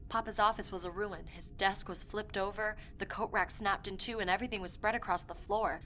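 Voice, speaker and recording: A woman playing a haunted, obsessive young woman who recounts a dark past in an intense audio drama monologue. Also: medium pitch, fairly even intonation, a little fast, natural voice. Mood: haunted, grim. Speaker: performer reading a dramatic monologue. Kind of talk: first-person audio fiction monologue. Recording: voice over prominent music.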